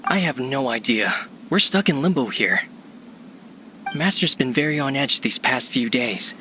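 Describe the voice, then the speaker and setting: Beleaguered servant, bright and animated. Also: male voice, on the high side, a little hiss or hum. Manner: exasperated, complaining. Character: beleaguered, unsure male servant of an irate master. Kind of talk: anime-style video game voice acting.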